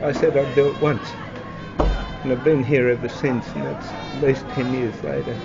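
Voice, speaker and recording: A man's voice: mid-range voice, fairly even intonation, normal speed, crowd noise. Aussie guy talking plain and earnest, muffled, jazz behind him. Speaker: club volunteer. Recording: lo-fi with music behind.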